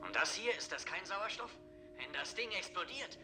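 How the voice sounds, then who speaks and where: Edgy, high-voiced spaceman. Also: sing-song, moderate pace. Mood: sardonic, tense. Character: edgy, fatalistic young male astronaut, sardonic under threat. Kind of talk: 1960s German TV science fiction acting. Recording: 1960s TV soundtrack with loud music.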